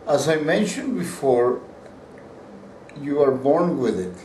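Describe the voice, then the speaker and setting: A man's voice: fairly high pitch, big pitch swings, slow, a little mellow. An older man from Peru, a painter whose first language is Spanish, answering interview questions at length with restarts and repeated words. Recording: roomy, distant mic, faint background hiss.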